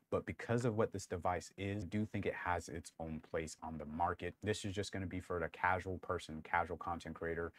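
A young man with a deep voice giving a casual, rambling tech review on a lapel mic in a small room, with lots of fillers. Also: dry recording. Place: small room lit with colored lights.

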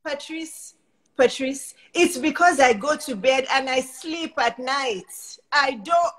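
A woman's voice: high voice, takes it slowly, fairly bright tone. A woman in her fifties with a Nigerian accent, hosting a video-call interview in an effusive, laughing, emphatic way. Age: 50s. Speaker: host and life coach. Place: indoors in front of a logo backdrop. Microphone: webcam on a video call.

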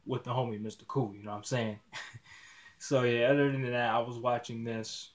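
A man's voice: mid-range voice, steady tone, moderate pace. Laid-back young man rambling to a webcam. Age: 20s. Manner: rambling, repeats words often, laid-back slang. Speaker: YouTuber. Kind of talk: casual vlog talk to camera. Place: small dim indoor room.

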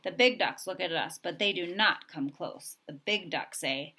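A woman reading a children's picture book aloud in an expressive way, with simple, deliberate phrasing for young listeners. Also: fairly low pitch. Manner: expressive, acting out sound effects. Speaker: storybook reader.

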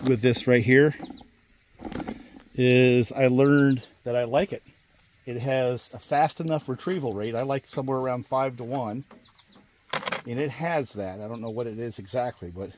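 A man's voice: medium pitch, darkish tone. A man giving casual, plainspoken commentary for an outdoor fishing vlog, in a folksy way. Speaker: fishing vlogger. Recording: outdoor recording with clear background noise.

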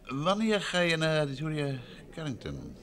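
Composed, probing husband. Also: male voice, a little high, wildly swooping pitch, measured pace, a bit bright, heavily acted. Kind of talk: vintage Dutch radio play acting.

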